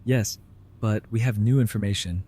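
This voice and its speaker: Male actor as idealistic councilman, low-pitched, earnest, persuasive, audio drama. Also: normal speed, warm tone, close to an everyday voice. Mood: urgent, persuasive. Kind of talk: full-cast audio drama acting.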